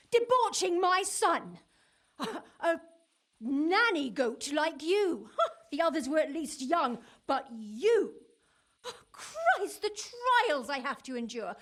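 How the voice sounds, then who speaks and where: Haughty vicious older mother, high-pitched. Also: heavily acted. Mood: outraged scorn. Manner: scornful, exclamatory. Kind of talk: video game drama acting.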